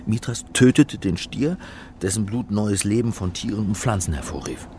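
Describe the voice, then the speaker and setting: An actor playing an earnest, uneasy middle-aged academic in a radio drama, speaking in a measured way as narrator and in dialogue. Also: male voice, on the low side, lively intonation, darkish tone, slight background noise, natural voice. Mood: earnest, explaining. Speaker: actor and first-person narrator.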